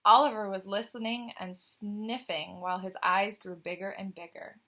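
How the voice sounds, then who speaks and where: Bright-voiced woman reading a picture book aloud. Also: mid-range voice, moderate pace. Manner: animated, questioning asides to young listeners. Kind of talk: expressive children's read-aloud with chatty asides.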